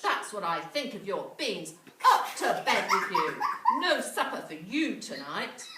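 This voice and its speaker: A woman's voice: medium pitch, sing-song, measured pace, roomy sound, recorded from a distance, heavy background noise. A woman voicing a poor, hot-tempered, scolding mother in a fairy tale. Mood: angry. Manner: yelling when angered.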